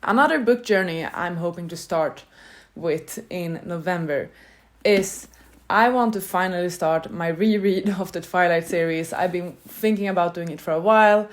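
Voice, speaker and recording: A woman's voice: on the low side, normal speed. Chatty, rambling woman vlogger. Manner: chatty and rambling, loosely structured. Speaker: book vlogger. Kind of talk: casual chat to camera.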